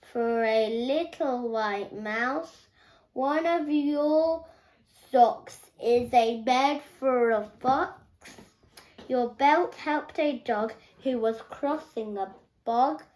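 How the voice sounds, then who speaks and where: A young girl with a high voice reads a picture book aloud. Her pace is extremely slow and careful, and she halts over words, repeats them and corrects herself as she goes. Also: darkish tone. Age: child. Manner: halting, careful reading with stumbles and self-corrections. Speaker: young reader.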